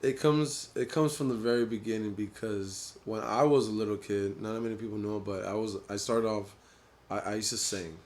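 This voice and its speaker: A man with a Honduran family background, telling his story in a laid-back, low-key casual chat. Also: steady tone, normal speed, darkish tone. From Honduran family, grew up in the US. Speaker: DJ and producer, guest.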